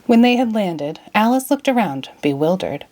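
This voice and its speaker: Female storyteller for a children's picture book: scripted, expressive, with lively intonation. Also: mid-range voice, moderate pace. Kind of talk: children's storybook read-aloud, scripted narration.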